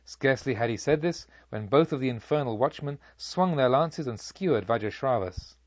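A man reading a Buddhist tale as an audiobook, with a solemn, measured, theatrical storytelling delivery. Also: mid-range voice, lively intonation, no room sound, muffled recording. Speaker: audiobook narrator. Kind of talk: scripted literary narration of a Buddhist tale.

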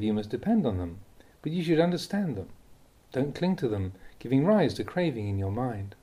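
Calm monk with mellow, husky voice. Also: male voice. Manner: calm, deliberate reading with lively pitch on key words. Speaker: monk reading Dhamma talks. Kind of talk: measured reading of a Buddhist teaching.

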